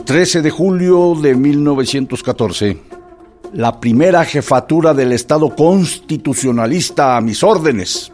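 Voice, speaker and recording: A fairly high male voice as a pompous political chief. He dictates a decree with bureaucratic authority in radio-play style, music softly behind. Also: expressive, measured pace. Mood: pompous, authoritative. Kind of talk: radio drama acting.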